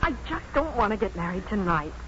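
A woman's voice: medium pitch, sing-song, brisk, lightly acted. An actress playing an earnest, headstrong young woman in love, pressing and emotional, in a muffled 1950s radio drama. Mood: anxious, teasing. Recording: muffled 1950s radio recording, faint noise.

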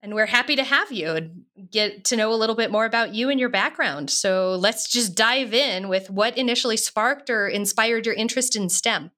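A woman's voice: a little high, moderate pace, few pauses, sharp tone. A woman hosting an interview podcast, reading a guest's introduction and asking upbeat questions. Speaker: podcast host.

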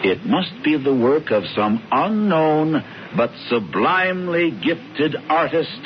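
A dull, noisy old radio recording of a brisk fellow in a radio play. He is smugly amused and grandly declamatory, with a voice that swings widely in pitch. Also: big pitch swings. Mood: grand, declaiming. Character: brisk, theatrical American man bossing his brother, smugly amused. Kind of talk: 1970s radio drama acting.

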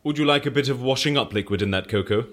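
An actor playing an eccentric, chatty British interviewer in an audio drama, with quaint phrasing and a theatrical manner. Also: male voice, medium pitch, fast, a bit bright, lightly acted. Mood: jovial. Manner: quaint exclamations, theatrical swings. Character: eccentric, chatty British male case interviewer, jovial and flustered.

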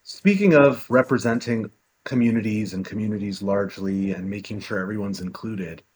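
A man's voice: lowish voice, normal speed, a little mellow, slightly rough. A man co-hosting a podcast, asking questions in a conversational, admiring way. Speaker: podcast co-host, doctor. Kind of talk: podcast interview.

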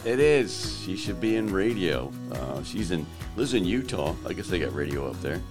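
Low-voiced male podcast host who jokes and teases his co-host. His voice sits deep from allergies, but his pitch swings widely as he talks. Music plays behind him. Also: big pitch swings, moderate pace. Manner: joking, teasing. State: voice lowered by allergies. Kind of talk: casual chat. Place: South Carolina.

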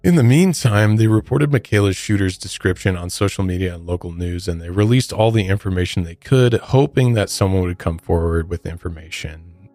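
American guy hosting true crime podcast, low voice, chatty narration, dry close sound, faint music under. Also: a little fast, darkish tone, no echo. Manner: conversational, informal asides. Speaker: podcast host. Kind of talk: true crime storytelling with casual commentary.

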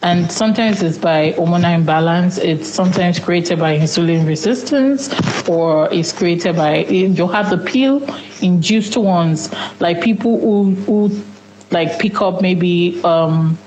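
A young woman with a lowish voice recalls her own story for an interviewer, thoughtful and in no rush. Her phrases drift off and begin again as she works things out aloud. A faint hiss sits under the recording. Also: a little mellow, echoey room, poor audio. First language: Yoruba. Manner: reflective, unhurried, trailing off and restarting. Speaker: interview guest. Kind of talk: candid personal story in an interview.

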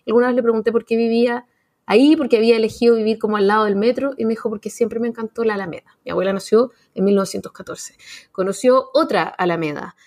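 Gravelly Chilean woman in her forties. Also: on the high side, quick pace. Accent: Chilean Spanish. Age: 40s. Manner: animated, slangy, many fillers and restarts. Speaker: panelist. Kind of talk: casual radio panel chat.

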